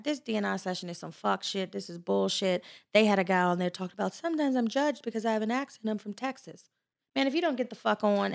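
An American woman talking candidly in a casual panel discussion, blunt, opinionated and quick to swear. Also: medium pitch, vocal fry. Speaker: podcast panelist.

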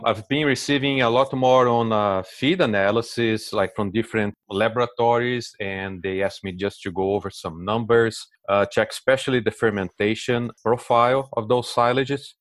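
A man's voice: on the low side, steady tone, moderate pace, hardly any pauses, a bit bright, dry recording. A man in his forties from Brazil, speaking with a Brazilian Portuguese accent as a guest expert in a casual interview, explaining things with many fillers and restarts.

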